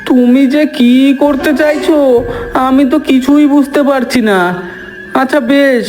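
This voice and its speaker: A woman's voice: high voice, steady tone, measured pace, a little mellow, slight background noise, low-quality recording. A woman voicing a timid, obedient husband in a cartoon, fretful and meek. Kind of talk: cartoon voice acting.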